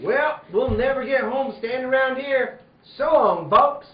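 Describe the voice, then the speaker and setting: A man's voice: very high voice, a little slow. A man playing a bold, hearty cowboy hero in an old-time radio western. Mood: rousing. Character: bold, hearty heroic cowboy Red Ryder. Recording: muffled, echoey room recording.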